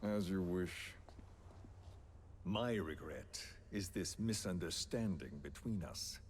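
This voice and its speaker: An actor playing a menacing, philosophical villain in a video game, speaking deliberately and with theatrical condescension. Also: male voice, low-pitched, animated, a little hiss or hum. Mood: smug, persuasive. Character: menacing, philosophical male villain coercing a captive. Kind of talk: dramatic video game voice acting.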